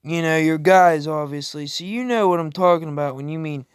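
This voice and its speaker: A man's voice: on the high side, fairly quick, clear, resonant tone, no echo, clean studio sound. A man giving casual, unscripted reaction commentary in a blunt, rambling way, with crude language and swearing. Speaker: reaction video YouTuber.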